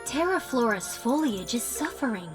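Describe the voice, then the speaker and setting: A woman reads game dialogue in a measured, clear voice, fairly high in pitch. She sounds far from the mic, with a long hall-like echo. Game music plays loudly around her. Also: very animated.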